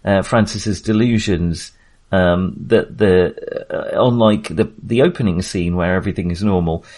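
A male podcast co-host with a lowish voice talks informally about cinema. His delivery is halting, as if he is working out each thought while he speaks. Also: darkish tone. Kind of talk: casual film discussion.

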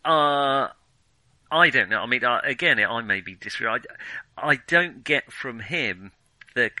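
Very bright, crisp-edged man thinking aloud. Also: mid-range voice, lively intonation, measured pace, dry recording. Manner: hesitant, thinking aloud, opinionated. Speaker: film podcast co-host. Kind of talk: casual film discussion.

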